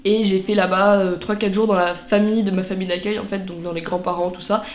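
A woman's voice: medium pitch, fairly even intonation, quick pace, roomy sound, a little hiss or hum. A teenage girl from France vlogging casually to camera in her bedroom, chatty with lots of fillers and restarts. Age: teens. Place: bedroom, indoors.

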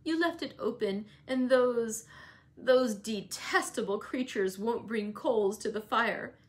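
Lady putting on a fretful sickly young guy, high and whiny, drags his words. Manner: whining, fretful, slow and plaintive. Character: sickly peevish petulant young man, invalid.

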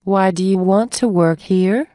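A woman reading English example phrases for a language lesson, saying many of them twice in a measured way. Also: medium pitch, mellow, dry recording, studio quality. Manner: measured, repeats each phrase slowly. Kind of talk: slow scripted phrase reading.

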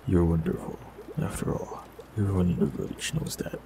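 A man voicing a warlock in an audio roleplay, talking calmly and reassuringly to the listener. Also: deep voice, a little fast, noisy background. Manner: calm, gentle, reassuring.

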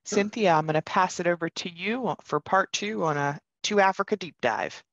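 A woman's voice: on the low side, moderate pace, fairly bright tone, no echo. A woman moderating an online event through a headset on a video call. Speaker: symposium moderator. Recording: slightly dull headset call audio.

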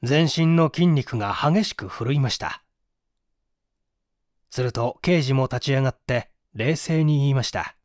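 A man reading a mystery story as dramatic narration, with measured, theatrical phrasing and deliberate pauses. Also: medium pitch, darkish tone, no room sound, clean studio sound. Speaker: audiobook reader and small-theatre actor.

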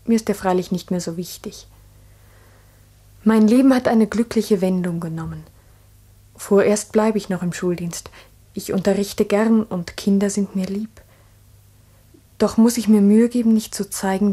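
An actress playing a pensive village schoolteacher, narrating in a subdued, measured way in a 1960s radio play. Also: female voice, mid-range voice, mellow, breathy edge, natural voice. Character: pensive village schoolteacher recounting strange events.

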